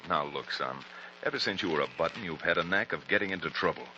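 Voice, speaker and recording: An actor playing a steady, heroic cowboy, firm and fatherly, with folksy commanding talk in an old radio western. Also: sits low, fast talker. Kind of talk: 1940s radio western acting. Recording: muffled, noisy old radio recording.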